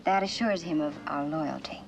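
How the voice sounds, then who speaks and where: An actress playing a cynical, mocking femme fatale in a mid-century suspense drama, coolly flippant in manner. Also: female voice, sits low, normal speed, creaky, rough recording. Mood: cool, wry.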